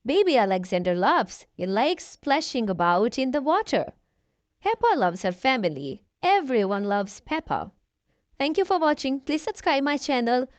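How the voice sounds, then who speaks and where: Woman doing read aloud kids story, high voice, very up and down, close dry sound. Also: dry recording. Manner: addresses young children directly, expressive storytelling. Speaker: children's storybook reader, channel host.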